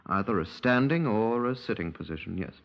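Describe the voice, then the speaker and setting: An actor playing a precise, cautious British medical examiner in a 1950s radio crime drama, clinical and formal in manner. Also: male voice, lowish voice, expressive, brisk, vocal fry. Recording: dull 1950s radio recording.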